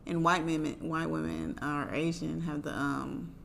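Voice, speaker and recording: A woman makes her points plainly on a casual podcast, with a teasing edge. Her voice is bright and forward. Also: low-pitched, fairly even intonation, moderate pace, creaky. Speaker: podcast co-host. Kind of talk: casual group chat.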